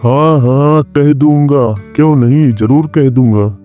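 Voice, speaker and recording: Man playing a mellow, cunning village priest. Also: medium pitch, takes it slowly, soft background music, natural voice. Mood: cheerful, scheming. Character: cunning, smooth-talking male village priest, a trickster. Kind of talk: Hindi cartoon moral story acting.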